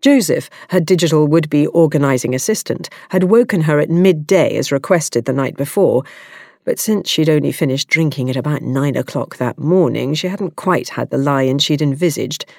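Woman, audiobook narration, dry close studio sound, expressive character reading. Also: low voice, moderate pace, vocal fry, no echo. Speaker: audiobook reader.

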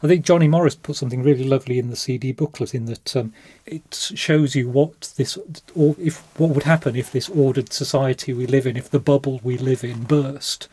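A man's voice: mid-range voice, steady tone, brisk, hardly any pauses, a little mellow, slightly rough. A male producer talking enthusiastically on a podcast, with hesitations and ums. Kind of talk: casual podcast chat.

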